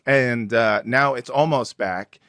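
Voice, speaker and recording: A man hosting a comedy podcast, a bit hoarse from a cold, joking around in casual chat. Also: a bit bright, dry recording, studio quality. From Los Angeles. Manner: joking, deliberately low and slow. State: hoarse, recovering lost voice. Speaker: podcast host.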